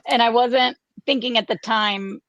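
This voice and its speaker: A woman talking casually after a show, telling her story in an animated way as one of the actors. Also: a little high, moderate pace, no echo, lo-fi sound. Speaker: actress in an amateur radio play troupe, playing the lead.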